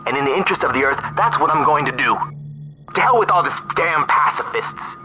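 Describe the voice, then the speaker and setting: An actor playing a stern, ruthless, self-righteous army colonel in a video game cutscene, speaking in a measured, condescending, menacing way. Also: male voice, fairly high pitch, expressive, background noise, close to an everyday voice. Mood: hard, threatening. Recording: music behind the voice.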